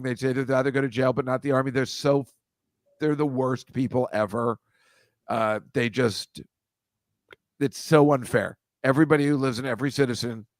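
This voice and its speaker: A man's voice: medium pitch, dry recording, studio quality. A man hosting a casual comedy chat, wry and rambling, often laughing at his own jokes. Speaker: host.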